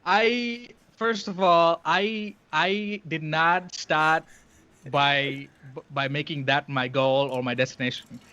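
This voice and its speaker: Sri Lankan man, Tamil first language, slightly high voice, halting laughing chat, muffled stream audio. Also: pushed and bright. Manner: laughing, halting, many restarts. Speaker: guest. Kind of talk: casual livestream chat.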